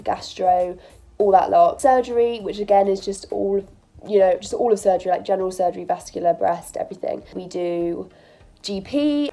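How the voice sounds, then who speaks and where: A woman's voice: medium pitch, warm tone, low-quality recording. Chatty young woman vlogging, bunged up. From England. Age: 20s. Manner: chatty and upbeat, quick asides. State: bunged up with a cold. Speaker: vlogger, medical student. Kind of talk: casual vlog talk.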